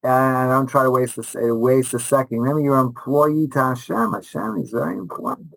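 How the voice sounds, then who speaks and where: A man's voice: medium pitch, a little fast, creaky, fairly bright tone, a little hiss or hum, rough recording. A middle-aged man, a rabbi and teacher answering interview questions conversationally, enthusiastic and emphatic, repeating phrases and often restarting.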